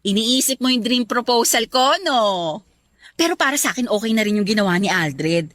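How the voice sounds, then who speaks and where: A woman's voice: on the high side, a little fast, fairly bright tone, no room sound, close to an everyday voice. A Filipino woman playing a chatty, clingy young bride-to-be in a Tagalog radio romance drama, gushing and teasing. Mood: gushing, dreamy.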